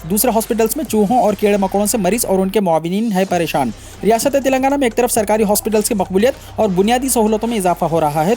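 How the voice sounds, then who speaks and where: A man's voice: high-pitched, mellow. A man reading scripted news in a formal manner, delivering headlines and stories as a news reader.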